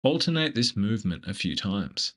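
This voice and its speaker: A man leading a scripted guided meditation in a gentle, measured, instructive manner. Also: lowish voice, sing-song.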